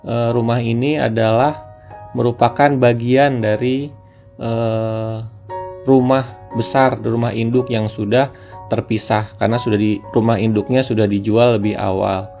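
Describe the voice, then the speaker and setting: A man's voice: mid-range voice, flat delivery, measured pace, dark tone. A man narrating a walk-through house tour, explaining each step as he presents the property. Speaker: real estate agent presenting a house tour. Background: plucked guitar music.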